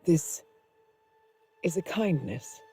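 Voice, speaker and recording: A composed, cutting female handler in a cinematic game. Her delivery is solemn and unhurried, with wide swings in intonation. Dramatic music plays clearly behind her. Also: on the low side, lively intonation, dark tone, full character voice. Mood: solemn regret. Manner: measured, deliberate, solemn. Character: cold, composed female handler betraying her assassin, regretful yet cutting. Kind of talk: cinematic video game acting.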